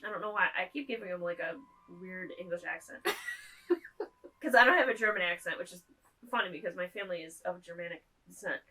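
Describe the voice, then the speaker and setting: Bright-voiced joking woman co-host. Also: lowish voice, a little fast, poor audio. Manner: joking, narrates the plot aloud. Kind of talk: casual movie-watching chat. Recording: piano music behind.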